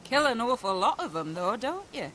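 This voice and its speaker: A bright, forceful ruffian woman. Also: fairly high pitch, very animated, normal speed. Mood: insistent, vengeful. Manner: coarse, cocky, colloquial. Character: brash, pushy sword-fighting ruffian woman, mocking and vengeful. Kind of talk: video game voice acting.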